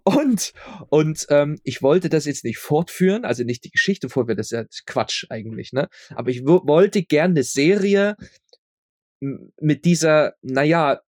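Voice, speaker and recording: A man's voice: a little high, expressive, normal speed, darkish tone, no echo, clean studio sound. A man answering casually as an interview guest, informally repeating words and often checking that he is understood. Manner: informal, repeats words and phrases, seeks confirmation. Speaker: interview guest, audio drama maker.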